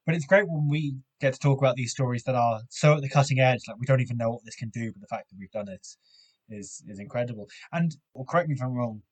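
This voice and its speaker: Husky-voiced male podcast co-host. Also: mid-range voice, fast talker, low-quality recording. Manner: curious, asks questions, laughs easily. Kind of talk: casual science chat.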